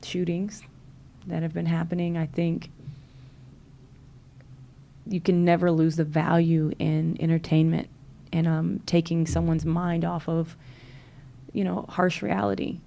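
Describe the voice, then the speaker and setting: A woman's voice: fairly low pitch, flat delivery, a little slow, gravelly, long pauses, warm tone, muffled recording. A woman from Louisiana with a Southern accent, a podcast guest talking earnestly and encouragingly, with many fillers. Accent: Southern American. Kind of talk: casual interview chat.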